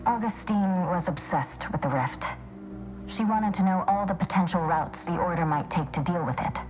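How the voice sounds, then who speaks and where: A blunt, sardonic female scholar played by an actress in a video game. Her voice sits slightly low, argumentative in manner, with music playing clearly behind it. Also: moderate pace, close to an everyday voice, poor audio. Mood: blunt, matter-of-fact. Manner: sarcastic, blunt. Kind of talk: video game dialogue acting.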